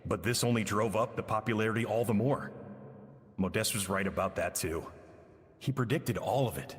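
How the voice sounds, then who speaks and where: An actor playing a regretful chef recounting his past with a lost friend in slow, somber narration. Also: lowish voice, long reverb tail, natural voice. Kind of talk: game cutscene narration.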